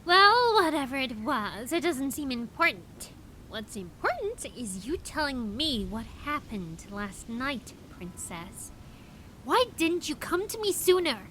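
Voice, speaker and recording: A woman playing a sly, bossy female confidante in a fan audio drama, teasing with drawn-out, pointed questions. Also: sits high, sing-song, bright, forceful tone, slight background noise, exaggerated voice, rough recording. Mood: scolding, impatient.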